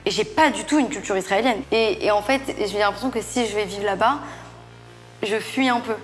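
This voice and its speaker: A woman's voice: mid-range voice, moderate pace, soft background music. A woman speaking sincerely and directly in a documentary interview. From France.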